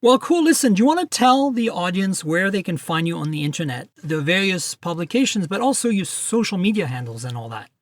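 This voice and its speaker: A man's voice: high voice, sing-song, fairly quick, hardly any pauses, dry recording, clean studio sound. A man hosting a tech podcast, talking in a chatty, upbeat way and running through plugs. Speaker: podcast host. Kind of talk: casual tech podcast chat.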